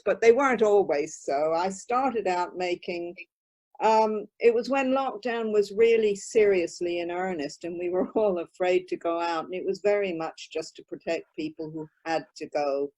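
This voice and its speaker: A woman's voice: lowish voice, darkish tone. An older woman from northern England who grew up in California, chatting casually as an interview guest from her craft room at home. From born in northern England, raised in California. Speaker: guest, volunteer craft maker. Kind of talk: casual interview chat, recounting. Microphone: video call.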